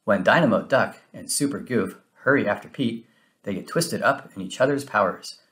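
A man reading a children's story aloud in an expressive, animated way with dramatic emphasis. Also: fairly low pitch, normal speed. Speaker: storybook reader. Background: music.

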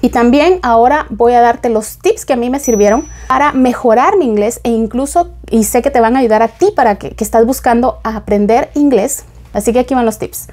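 A woman, giving casual advice to camera in a small home room on a lapel mic, lively and gesturing as she talks. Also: on the high side, a little fast. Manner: lively, gesturing with both hands, talks straight to the viewer. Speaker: vlogger.

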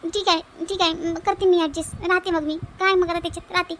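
A woman as a meek, high-pitched cartoon bride. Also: flat delivery, brisk, fairly bright tone, a little hiss or hum. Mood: resigned. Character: meek, obliging young new bride. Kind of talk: cartoon family comedy dubbing.

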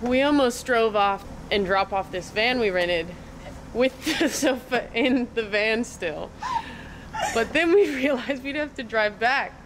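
Young woman, playful vlog host, slow talker, handheld camera mic, noisy background. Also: a little high. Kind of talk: casual vlog chat.

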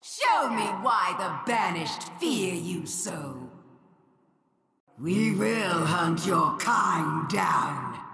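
An actress voicing an imperious, vengeful, ancient alien villain in a video game, speaking with grandiose, contemptuous theatrical menace. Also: female voice, mid-range voice, creaky, a bit bright, full character voice. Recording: echoing game voice processing.

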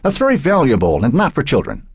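A man's voice: medium pitch, huge pitch swings, fast, reverberant, in a large hall, voice pushed up. A man voicing a bitter, harsh old grandmother who scolds her daughter with contempt. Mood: stern.

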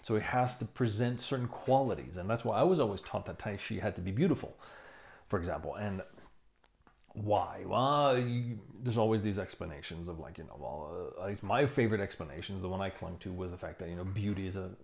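A man in his fifties giving a casual explanation to camera, thoughtful and halting, stressing key words. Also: sits low, vocal fry. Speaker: tai chi teacher reviewing a book. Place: small room indoors. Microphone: lapel mic.

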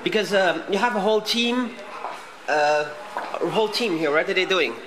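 A man's voice: high-pitched, normal speed. A man interviewing casually on a walk-around tour, inquisitive, with short, repeated questions. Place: busy small factory and office. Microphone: handheld camera, speaker behind it. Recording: faint workplace noise.

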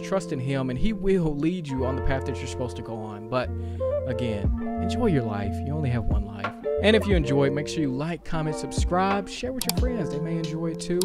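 An upbeat young man from talks straight to his camera, his easy and loose. He chats about his day and keeps urging his viewers to go out and travel. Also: mid-range voice. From Kentucky. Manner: upbeat, chatty, encouraging. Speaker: vlogger. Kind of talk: casual vlog talk to camera. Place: outdoors in sunny street and at beach. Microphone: handheld camera at arm's length.

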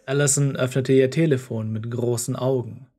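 Ein Mann liest als Erzähler eine Geschichte vom Skript. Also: male voice, medium pitch, fairly even intonation, moderate pace, mellow. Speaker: narrator. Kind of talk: scripted story narration.

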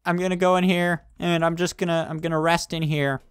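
A man hosting a gaming video with casual roleplay commentary, excitable and directing his companions. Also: fairly high pitch, a little fast, clear, resonant tone, no room sound, studio quality.

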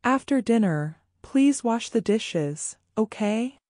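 A woman voicing a kind, patient old grandmother in a cartoon story, calm and firm. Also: a little high, takes it slowly. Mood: gentle. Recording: music playing behind.

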